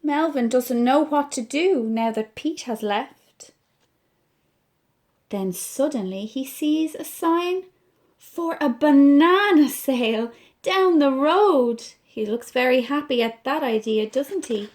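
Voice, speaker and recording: Irish woman librarian, high voice, unhurried picture-book reader who invites the kids in. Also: lively intonation. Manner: slow, gentle storytelling with questions to listeners. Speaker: children's librarian. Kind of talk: reading a picture book aloud to children.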